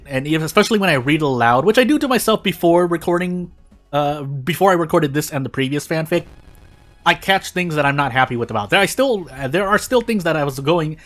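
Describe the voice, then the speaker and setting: A man's voice: on the high side, fairly bright tone. A man giving casual gameplay commentary in a rambling, self-interrupting, self-deprecating way, often losing his train of thought. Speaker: video creator and gamer.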